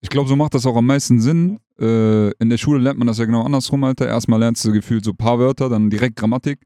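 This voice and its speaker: A man's voice: medium pitch, steady tone, fast talker, warm tone, no room sound, clean studio sound. A young man hosting a podcast, chatting casually and with plenty of slang. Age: young adult. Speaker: podcast host, interviewer.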